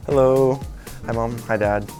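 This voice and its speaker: A man's voice: medium pitch, steady tone, measured pace, darkish tone. A young man from Alaska, a college swimmer giving casual interview answers. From Alaska, United States. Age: college freshman, about 18. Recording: music playing behind the voice.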